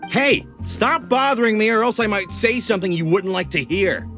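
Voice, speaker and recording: A surly, dismissive townsman in a fantasy game. His curt lines sit a touch high, with music playing behind him. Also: lively intonation, moderate pace, sharp tone, full character voice.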